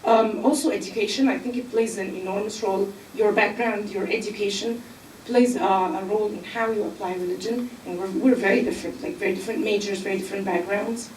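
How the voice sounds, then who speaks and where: A woman from Egypt speaking on a panel, explaining earnestly with filler pauses. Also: mid-range voice, steady tone, darkish tone, recorded from a distance, slight background noise. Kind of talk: panel discussion. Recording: echoey room, mic from afar.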